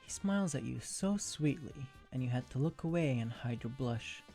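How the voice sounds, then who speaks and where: A narrator reads a fan fiction story in an ASMR style. The voice is dark and soft-edged with plenty of vocal fry, and music plays quietly behind it. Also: medium pitch, expressive, normal speed.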